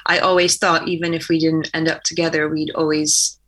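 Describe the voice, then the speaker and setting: A young woman with an American accent talks in a casual, chatty way, full of "like" and quick laughs. Her voice sits slightly low but sounds bright and forward. She is a guest musician speaking easily about her work. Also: little pitch movement. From Poland. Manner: chatty, laughs often, uses "like" a lot. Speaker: guest singer-songwriter. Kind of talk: casual interview chat.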